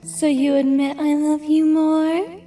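A woman's voice: high-pitched, level tone, slow pace, dark tone. A woman playing a sweet, teasing young mare in a fan audio drama, coy and affectionate with a sweetheart. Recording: distant, music underneath.

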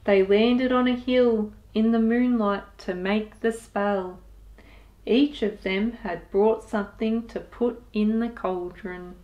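A woman in her thirties reading a picture book aloud to young children, expressive and deliberate, as a storytime host. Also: mid-range voice, highs cut off. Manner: expressive, deliberate, with sound effects and asides to listeners.

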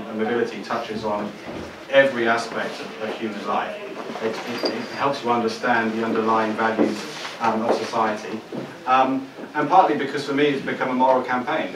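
A man from London, an author on a panel, speaking hesitantly with many ums and repeated words. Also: on the low side. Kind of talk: panel discussion remarks. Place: seminar room with audience. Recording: distant, roomy, noisy.

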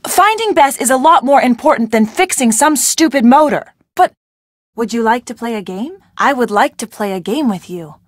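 A woman's voice: on the high side, expressive, moderate pace, no room sound, studio quality. An actress playing a curious young female detective, delivering short, casual and direct lines of video game dialogue. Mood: doubtful.

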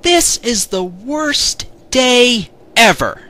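A cocky young hero, played by a man who complains and brags in a bright, sharp voice. His delivery is animated and a bit whiny, in parody cartoon style. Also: very high voice, drawn-out words, full character voice. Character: cocky, whiny young adventurer hero, male, dim-witted. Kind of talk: fan parody cartoon dub.

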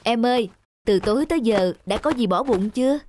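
A woman's voice: a little high, lively intonation, a little fast, a little mellow, a little hiss or hum, close to an everyday voice. Woman as a kindly, encouraging young businessman. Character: kindly, self-made young businessman giving encouraging advice. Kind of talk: cartoon character acting.

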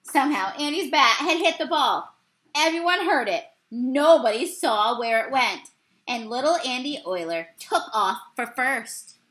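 A young woman reads a children's story aloud in a very high, animated voice. Her delivery is expressive storytelling with a lively melody, recorded at desk distance indoors. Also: a little slow. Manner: animated storyteller with exclaimed dialogue. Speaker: teacher reading aloud. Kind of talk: expressive picture-book read-aloud. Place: small indoor room.

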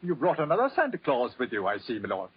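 An anxious, deferential British butler speaks formally in a very husky, lively voice. It sounds like a muffled 1940s radio play. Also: male voice, mid-range voice, fast, full character voice. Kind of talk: 1940s radio drama acting.

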